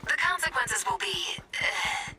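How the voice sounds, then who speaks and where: A woman voicing a haughty, sardonic female robot in a video game, deadpan and condescending. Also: on the high side, a little slow, soft background music, full character voice. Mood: scornful. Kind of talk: video game character dialogue.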